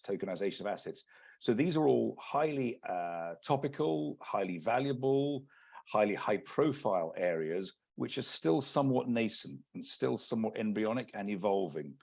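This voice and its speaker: A man from the UK with a British accent, giving a measured, businesslike webinar presentation as an executive search partner. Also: on the low side, vocal fry, darkish tone. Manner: measured, businesslike, many restarts. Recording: lo-fi video call audio.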